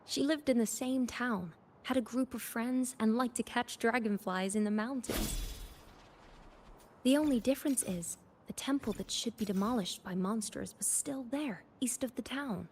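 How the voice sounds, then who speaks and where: A woman's voice: on the high side, moderate pace, a little mellow, a little hiss or hum, close to an everyday voice. A woman playing an earnest, wistful young woman who is grieving her grandmother in a video game. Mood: wistful, uncertain.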